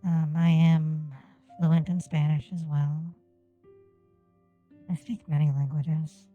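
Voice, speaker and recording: Woman streamer, Japanese native, low voice, very mellow tone, careful enunciation, dull lo-fi audio. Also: flat delivery, slow, faint music behind. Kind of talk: casual gameplay stream chat. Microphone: desk microphone on an arm.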